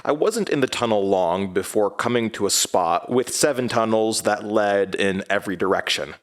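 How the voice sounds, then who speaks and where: An actor playing a haunted man who recounts his journey in first person for a horror audio drama, in halting, measured phrases. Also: lowish voice, lightly acted. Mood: uneasy, grave. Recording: music bed behind the voice.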